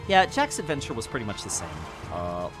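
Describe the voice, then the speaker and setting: A man's voice: mid-range voice, wildly swooping pitch, a little fast. A man streaming a video game, chatting casually and swearing freely as he talks about it. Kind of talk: casual let's-play commentary. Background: game music.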